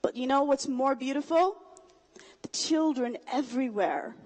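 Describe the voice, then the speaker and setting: Young woman, high voice, student speaker, public talk, rhetorical and engaging. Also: moderate pace, darkish tone, low-quality recording. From Somalia. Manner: engaging, rhetorical, asks the crowd questions. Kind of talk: public speech to an audience.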